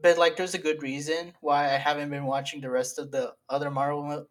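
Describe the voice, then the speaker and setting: A man's voice: on the high side, level tone, fairly quick. A young man co-hosting a casual podcast with friends, rambling through long sentences full of fillers and laughing as he talks. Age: young adult. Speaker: podcast co-host and editor. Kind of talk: casual chat among friends.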